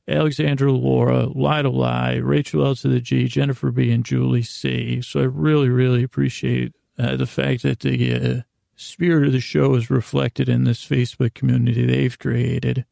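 Very creaky, mellow man rambling softly. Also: mid-range voice, no room sound, studio quality. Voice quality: creaky, gravelly. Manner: halting, meandering, repeats and rephrases himself; self-deprecating, gently silly. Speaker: host of a bedtime sleep podcast. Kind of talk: rambling, lulling bedtime monologue.

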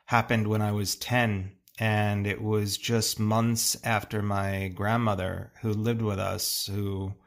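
A middle-aged American man telling personal stories in an interview in a thoughtful, halting way, often pausing mid-phrase. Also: lowish voice. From United States, suburban Long Island. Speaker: interviewed artist. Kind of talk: reflective interview storytelling.

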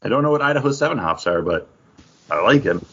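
A man's voice: medium pitch, lively intonation, fast talker, a bit bright, husky, dull audio. A man hosting a podcast, talking casually about comics and beer in a conversational way. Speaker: podcast host.

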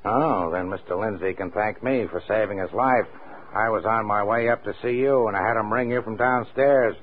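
A man's voice: on the low side, normal speed, natural voice. Man playing sardonic police sergeant, tinny radio sound. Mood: brisk, sardonic. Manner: dry, needling. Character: gruff, skeptical, sardonic male police sergeant. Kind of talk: 1940s radio crime drama acting. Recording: tinny old radio recording.

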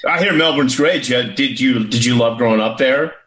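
A man's voice: medium pitch, fairly quick, fairly bright tone, rough recording. A man hosting a casual interview, enthusiastic and slangy, calling his guest dude and man. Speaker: podcast host.

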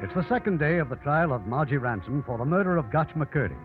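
A man's voice: a little high, lively intonation, quick pace, music in the background. A man narrating a 1950s radio drama from a script. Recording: muffled old 1950s radio broadcast.

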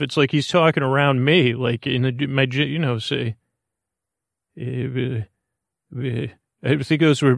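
A man hosting a bedtime podcast in a gentle, meandering monologue, self-deprecating and often losing his thread, with a gravelly voice. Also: mid-range voice, dry recording, studio quality. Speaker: host of a bedtime sleep podcast.

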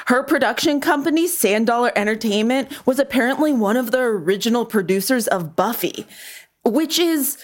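A female co-host with a medium-pitched voice. She talks casually and colloquially, with playful emphasis, as in light news chat.

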